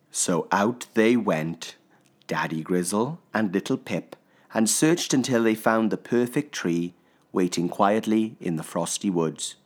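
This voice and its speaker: A male storyteller with a fairly low voice reads a children's picture book. His delivery is slow and theatrical, with deliberate pauses and highly varied intonation. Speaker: storybook narrator. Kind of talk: expressive children's story reading.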